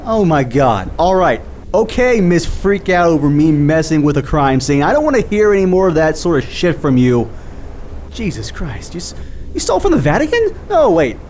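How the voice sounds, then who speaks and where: A flustered, argumentative skeptic played by a male actor in an audio drama. His voice is somewhat high for a man and swings widely in pitch as he stammers in exasperation, informal and excitable. There is faint noise in the recording. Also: normal speed. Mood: appalled, panicking.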